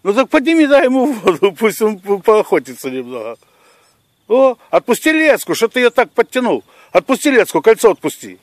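A man's voice: sits high, huge pitch swings, fairly quick, no room sound. A man filming outdoors at a lakeside, bossily calling out instructions and laughing. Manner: bossy, laughing, swearing, giving orders. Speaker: person filming a fishing trip. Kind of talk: casual outdoor banter.

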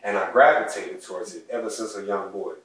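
A young man with a lowish voice tells heartfelt personal stories in a relaxed home chat. He sounds set back from the mic, and the room around him rings with echo. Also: a little fast, far from the mic. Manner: earnest, heartfelt, speaks of his soul and ancestors. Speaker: podcast co-host. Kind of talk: casual conversational storytelling. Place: home living room, indoors. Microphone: distant mic on a stand, wide camera. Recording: echoey room audio picked up from afar.

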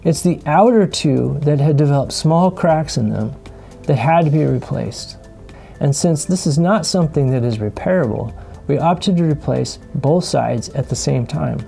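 Calm gravelly man narrating a sailing vlog. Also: fairly high pitch, moderate pace, soft background music. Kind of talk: scripted voice-over narration.

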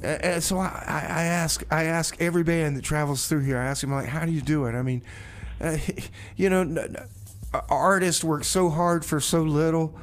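A man's voice: on the high side, steady tone, moderate pace. A man hosting a community radio music show, talking casually with stammers, restarts and laughter. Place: small radio studio.

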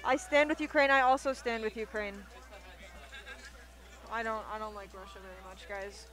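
A man's voice: sits high, fairly even intonation, moderate pace, sharp tone, some chatter behind. A young man chatting casually as a commentator on a gaming livestream. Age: young adult. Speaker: esports stream commentator. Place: large indoor campus common area.

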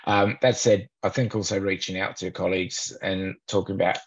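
A man's voice: low voice, little pitch movement, fairly quick, husky. A middle-aged man on a video call, candid and practical as a panelist, his audio dull and lo-fi. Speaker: panelist, board chair. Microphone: webcam on video call.

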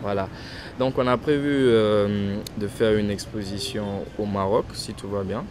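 A young man from Guinea, a painter, answering interview questions in a casual, hesitant way with many restarts and softly trailing phrases. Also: fairly low pitch, fairly even intonation, unhurried. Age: young adult. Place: outdoors in front of a painted backdrop, street traffic nearby. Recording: outdoor street noise behind voice.

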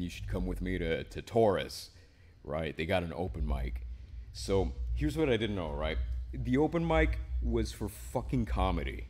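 A man in his twenties with a deep voice, telling his story in a relaxed, rambling way, often tossing in "man" and "right?". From Miami, Florida. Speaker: poet, interview guest. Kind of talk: casual interview. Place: small indoor studio with a painted mural wall.

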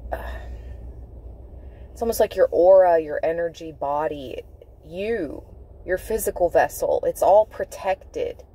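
A woman giving an intuitive tarot reading, speaking in deliberate, halting phrases with emphatic repetition. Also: lowish voice, warm tone. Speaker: tarot reader. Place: inside a parked car.

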